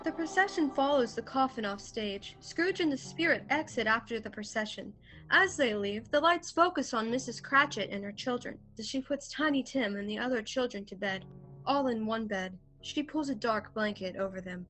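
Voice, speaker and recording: A woman's voice: a little high, expressive, moderate pace, fairly bright tone, poor audio. A woman reading scripted stage directions as the narrator of a radio play. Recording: faint music, home video-call recording.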